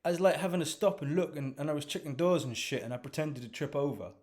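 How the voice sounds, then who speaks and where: A man's voice: a little high, fast talker, voice pushed up, close to an everyday voice. A man doing a cocky young lad. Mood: boastful. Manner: streetwise slang, excited. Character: young adult male cockney lad, cocky.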